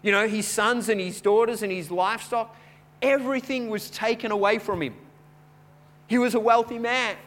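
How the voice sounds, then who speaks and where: A man preaching a sermon in a conversational, storytelling way, repeating words as he goes and building from quiet chat to louder preaching. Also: sits high, steady tone, normal speed. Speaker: pastor.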